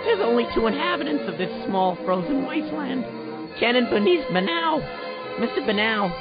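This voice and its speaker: A man playing a hapless, nervous field news reporter who stammers in an animated way. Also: very high-pitched, moderate pace, full character voice. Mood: nervous, flustered. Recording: dull lo-fi radio sound, music behind. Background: music.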